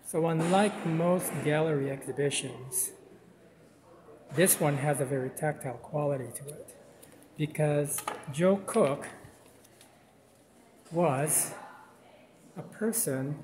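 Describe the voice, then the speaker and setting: A man from the Philippines, a Tagalog speaker, chats his way through a gallery tour in a slightly raised, easygoing voice. He rambles along with plenty of "sort of, like" fillers. The sound comes from a handheld camera mic with clear background noise and small clicks. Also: on the high side. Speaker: art vlog host. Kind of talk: walking gallery tour vlog. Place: outdoor campus plaza, then indoor gallery.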